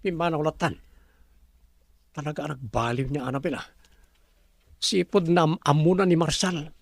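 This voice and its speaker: Casting: male actor as a Filipino businessman and spurned suitor, proud and pushy, slightly high voice, dry sound. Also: moderate pace, creaky, no room sound. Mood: bitter, frustrated. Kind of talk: dialogue in an Ilocano serial drama.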